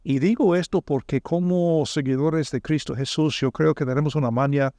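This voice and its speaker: A man hosting a podcast, asking his guest questions and explaining the topic in a measured, friendly way. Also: fairly high pitch, warm tone, no room sound, clean studio sound. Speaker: podcast host.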